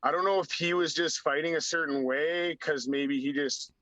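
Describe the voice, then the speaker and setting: Bright, forceful man telling wild stories. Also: fairly high pitch, steady tone, normal speed, no room sound. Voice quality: slightly raspy. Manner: acts out dialogue, heavy use of "like". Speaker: podcast guest, former hockey enforcer. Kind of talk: animated storytelling. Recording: dull lo-fi sound.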